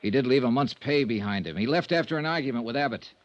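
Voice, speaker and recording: A male actor plays a dogged, insistent investigator from a vintage radio detective show. His pitch swings widely as he narrates and presses people, wry and brisk. The old radio recording is dry, with no room around it. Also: lively intonation, no room sound, dull audio, natural voice. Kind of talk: 1950s radio detective drama acting.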